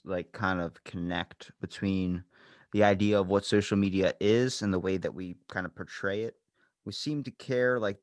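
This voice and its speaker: Young man, podcast host, low voice, blunt and profane, dry close mic. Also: no echo. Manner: blunt, profane, swings from low mutter to excited. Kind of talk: casual interview chat.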